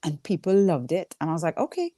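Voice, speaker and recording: Low-voiced Trinidadian woman, candid and conversational. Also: big pitch swings, quick pace, warm tone, no room sound, clean studio sound. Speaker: stand-up comedian, interview guest. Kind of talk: casual conversational interview.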